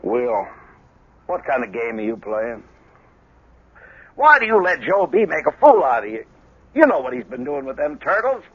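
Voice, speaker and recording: An American actor playing a folksy, worried small-town deputy sheriff who recounts a tale in a radio drama. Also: male voice, mid-range voice, big pitch swings, normal speed, long pauses, husky. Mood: concerned. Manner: folksy, colloquial storytelling. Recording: lo-fi old radio broadcast.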